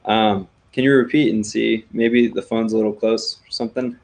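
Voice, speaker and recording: A man's voice: fairly low pitch, little pitch movement, normal speed, creaky, a little mellow. A man co-hosting a casual live chat, friendly and welcoming to guests. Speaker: co-host.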